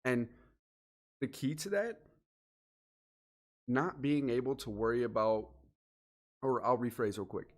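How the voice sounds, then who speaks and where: A man's voice: mid-range voice, unhurried, long pauses. A man hosting a solo motivational podcast, talking earnestly and directly to the listener, a little sniffly and congested from allergies. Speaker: podcast host.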